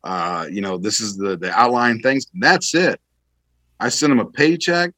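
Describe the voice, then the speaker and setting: A man's voice: on the low side, normal speed, pushed and bright, dry recording. A man speaking as an interview guest and military veteran, explaining his views conversationally in an emphatic, repetitive way.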